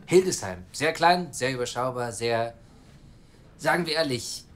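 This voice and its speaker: A man's voice: mid-range voice, lively intonation, a little slow, natural voice. Eager, chatty actor as hopeful adoptive father. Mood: chatty, cheerful. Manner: eager, talkative, persuasive. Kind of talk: naturalistic audio drama acting.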